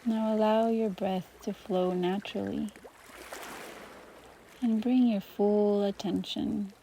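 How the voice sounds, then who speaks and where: A woman teaching meditation, guiding listeners gently through breathing and gratitude with soft pauses between phrases. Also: mid-range voice, steady tone, vocal fry, slight background noise. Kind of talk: guided meditation.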